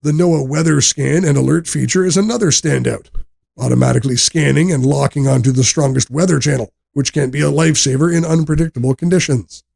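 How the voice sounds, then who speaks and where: A man reading a scripted product review narration in a measured, explanatory way. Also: on the high side, creaky, darkish tone, breathy edge.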